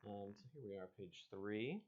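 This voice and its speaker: A man's voice: lowish voice, animated, a little fast. A man hosting an informal livestream, reading an adventure story aloud and chatting casually. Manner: informal, bantering with co-host and viewers. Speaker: host reading an interactive adventure book aloud. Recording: dull lo-fi livestream audio.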